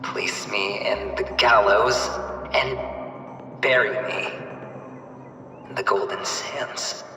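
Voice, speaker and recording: An actor playing a flamboyant, cunning young gambler in a video game, with a theatrical, taunting, drawn-out delivery. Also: male voice, lowish voice, pushed and bright, far from the mic, a little hiss or hum. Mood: bitter, proud. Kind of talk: video game cutscene acting. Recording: echoey hall reverb, music behind. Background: music.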